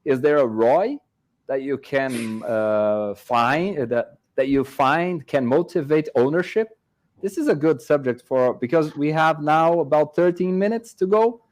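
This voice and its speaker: A man's voice: fairly high pitch, lively intonation, a little slow, darkish tone. Male moderator reading questions. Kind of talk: moderating a panel.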